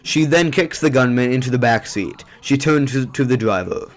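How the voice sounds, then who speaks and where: A man's voice: mid-range voice, moderate pace, slight background noise. A man narrating a scripted audio drama.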